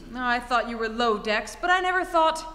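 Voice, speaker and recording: A woman acts an imperious young heiress in an old radio comedy. Her voice is high, bright and sharp-tongued. It echoes in a theatre hall. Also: animated, brisk, slight background noise. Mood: withering. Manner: imperious, indignant, withering. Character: haughty, sharp-tongued young society bride. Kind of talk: staged 1940s-style radio comedy acting.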